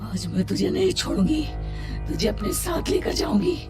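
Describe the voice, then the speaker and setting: A woman's voice: sits high, big pitch swings, normal speed, over music. A woman voicing a cold, menacing possessed wife in a horror cartoon story, eerie and threatening.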